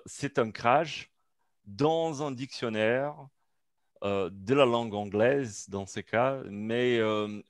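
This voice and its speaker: Slow, hesitant non-native man lecturing. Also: medium pitch, no room sound, studio quality. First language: not French. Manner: hesitant, many fillers and restarts. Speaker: seminar speaker. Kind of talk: academic talk over video call. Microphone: computer mic on a video call.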